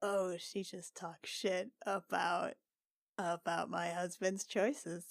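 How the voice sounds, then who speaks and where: A woman's voice: fairly low pitch, flat delivery, slow, gravelly, no room sound, clean studio sound. A woman playing a tabletop role-playing game, casually improvising her character's actions in hesitant phrases. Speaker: player.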